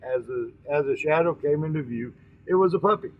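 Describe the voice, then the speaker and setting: A man with a somewhat high voice tells a story in a homespun, informal style. He talks straight to his listeners, often stumbling and correcting himself as he goes. The recording is dull and lo-fi. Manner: halting, often repeats and corrects words; folksy, addresses listeners directly. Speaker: storytelling host.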